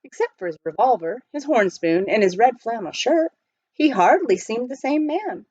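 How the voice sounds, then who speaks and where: A woman reading a children's novel aloud as an expressive storyteller. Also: on the high side, normal speed, dry recording, muffled recording. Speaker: storybook reader.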